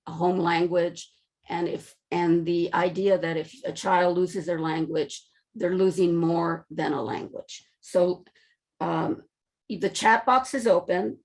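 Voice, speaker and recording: Woman, lower voice, webinar presenter, conversational, loose, video call. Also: a little mellow. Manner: conversational with frequent fillers and restarts. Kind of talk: lecture presentation.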